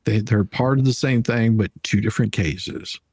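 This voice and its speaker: A man with a husky voice hosting a casual live stream, often repeating and restarting his words as he talks. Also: on the low side, normal speed, darkish tone, no echo. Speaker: livestream host.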